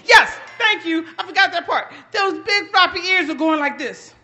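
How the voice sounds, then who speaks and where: Lady storyteller for kids, real high bright voice, takes her time, big dramatic delivery. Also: highs cut off. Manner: dramatic, emphatic, with long deliberate pauses. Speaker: children's storyteller. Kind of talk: high-energy interactive folktale performance.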